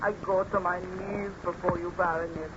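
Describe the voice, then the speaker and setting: A woman's voice: lowish voice, little pitch movement, moderate pace, dull audio, natural voice. An actress playing an accused servant woman in old-time radio melodrama, earnestly and theatrically pleading her innocence. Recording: noisy, band-limited old radio recording.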